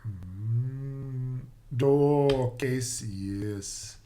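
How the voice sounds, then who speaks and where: Slow, halting man thinking aloud. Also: mid-range voice, dark tone. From Netherlands or Dutch-speaking Low Countries. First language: Dutch. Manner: halting, musing, trailing off mid-thought. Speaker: programmer live-streaming a coding session. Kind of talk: thinking aloud while coding. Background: computer keyboard typing and clicks.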